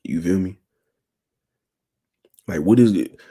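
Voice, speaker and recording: Deep raspy young man, casual and slangy. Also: slow, mellow. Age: 20s. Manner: slangy, keeps asking "you feel me". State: sore throat. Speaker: channel host. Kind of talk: casual livestream talk to viewers.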